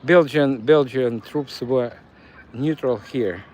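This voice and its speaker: Slow halting man with Ukrainian accent. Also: mid-range voice, a little mellow. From eastern Ukraine. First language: Russian. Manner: halting, many fillers and restarts. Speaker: city walk streamer. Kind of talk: live-streamed walking tour. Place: city streets outdoors. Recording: faint street noise outdoors. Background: faint street and traffic noise.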